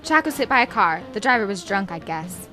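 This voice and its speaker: A woman's voice: medium pitch, big pitch swings, fairly quick, bright, forceful tone, soft background music. A woman playing a sly, composed circus owner with a theatrical, knowing manner in a noir radio drama.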